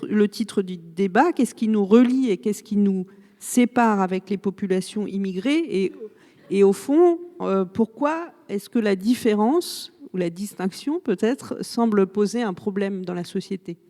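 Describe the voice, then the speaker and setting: A woman's voice: medium pitch, mellow. A woman moderating a public debate, passing questions to the panel with a few fillers. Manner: steering questions, some fillers. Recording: reverberant hall sound.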